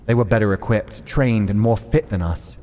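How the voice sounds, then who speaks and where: A man reading a visual novel aloud as an expressive, dramatic narrator, acting out the characters. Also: on the low side, normal speed, big-room sound, faint music behind.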